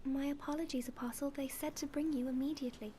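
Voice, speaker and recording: An actress voices a deferential young female messenger in a fantasy game. Her voice is very high, formal and apologetic, pressing with urgency. Faint noise is behind her. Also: steady tone, fast talker, warm tone, close to an everyday voice. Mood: deferential, urgent.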